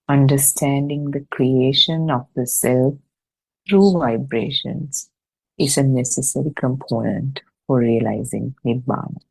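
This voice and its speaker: A woman reading a religious discussion text aloud for an online study session, in a measured, deliberate way with pauses between short phrases. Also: very deep voice, gravelly, a little mellow, breathy edge, poor audio. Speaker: reader and host of an online Buddhist study session. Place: online video meeting.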